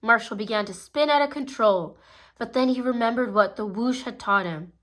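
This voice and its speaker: A woman reading a children's storybook aloud in a gentle, steady storytelling manner, with small slips now and then. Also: on the high side, moderate pace. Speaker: storybook reader. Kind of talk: children's read-aloud narration.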